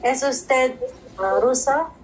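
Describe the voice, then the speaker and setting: Woman, language student, very slow, careful, unsure, video call, faint noise. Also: high-pitched, rough recording. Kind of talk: practicing phrases in a class.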